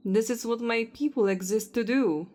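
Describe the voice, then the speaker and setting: A woman's voice: on the high side, normal speed, mellow, close to an everyday voice. A woman playing a cold, self-righteous vampire hunter in an audio roleplay, taunting and contemptuous. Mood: scornful, impatient.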